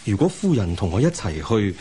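A man's voice: fairly low pitch, sing-song, brisk, mellow. A man acting a scholarly middle-aged imperial envoy and placating husband in a Cantonese radio drama, with a Hong Kong accent, sighing and hesitant. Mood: awkward, persuading. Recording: hissy radio drama rebroadcast.

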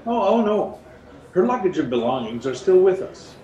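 Casting: older actor as deferential hotel clerk, high voice, echoey room, formal, radio mystery. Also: male voice, very animated, moderate pace, dark tone, lo-fi sound. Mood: apologetic. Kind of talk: period radio play acting. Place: at home on a video call. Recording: roomy home video-call sound.

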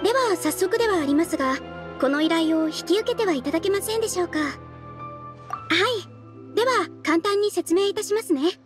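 A woman's voice: extremely high pitch, animated, fairly quick, soft background music. A woman playing a polite, earnest young guild receptionist in a game dub, speaking formally and courteously. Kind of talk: Japanese game dub, quest briefing dialogue.

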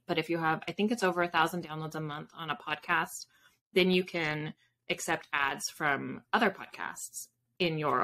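Woman, 30s, interview guest. Lowish, very bright voice, informal, self-deprecating, slightly noisy video call. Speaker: guest, fiction author and podcaster. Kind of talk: casual interview chat. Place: indoor home room with bookshelves. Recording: video call with background noise, clicks.

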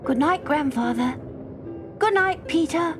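A woman voicing an eager, sweet little girl in a storybook reading, with wide-eyed, drawn-out questions and calls. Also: sits high, expressive, music in the background, voice pushed up. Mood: happy, affectionate.

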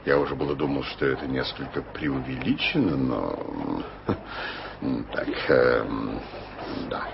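An actor playing a powerful statesman prince who speaks in a measured, ironic and commanding way in an old radio play. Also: very deep voice, creaky, heavily acted. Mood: stern. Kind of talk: 1980s radio play acting. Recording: hissy, dull old radio recording.

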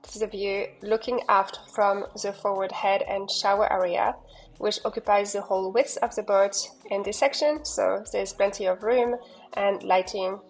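A woman's voice: medium pitch, steady tone, moderate pace, faint music behind, rough recording. Enthusiastic woman giving a yacht tour. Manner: enthusiastic, with fillers and restarts. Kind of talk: walkthrough narration.

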